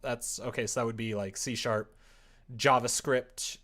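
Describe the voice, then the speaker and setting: A creaky-voiced livestreamer chatting casually and thinking aloud while coding, rambling, muttering and a little self-deprecating. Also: medium pitch, fairly even intonation, a little slow, a bit bright. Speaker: livestreamer making a game.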